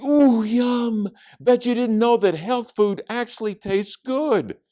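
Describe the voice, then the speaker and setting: An excitable young schoolgirl from a children's storybook, acted out by a man, pitched way up and swooping with eagerness. She is upbeat and a little bossy. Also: slow, full character voice. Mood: excited. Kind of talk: children's storybook read-aloud.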